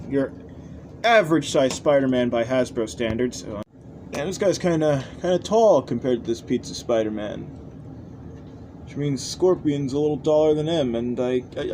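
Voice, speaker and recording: Male hobby reviewer, mid-pitched, casual and hesitant, rambling delivery, faint background noise. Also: darkish tone. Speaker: toy reviewer, channel host. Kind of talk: casual unscripted review commentary.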